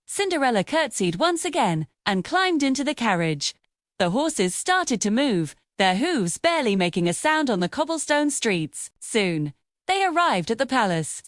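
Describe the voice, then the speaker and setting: A woman narrating a fairy tale for children in a scripted, expressive, sing-song storytelling manner. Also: fairly high pitch, no echo, clean studio sound.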